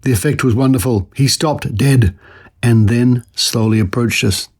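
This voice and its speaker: A man presenting a podcast, reading old newspaper articles aloud in an expressive, theatrical storytelling manner with brief commentary of his own. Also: mid-range voice, unhurried.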